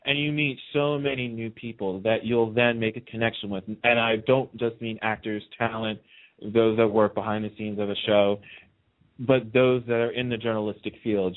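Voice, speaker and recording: A man's voice: lowish voice, fairly even intonation, normal speed, phone-quality sound. A man chatting casually as a radio guest, telling stories and repeating phrases for emphasis. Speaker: radio host being interviewed. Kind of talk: casual chat, storytelling.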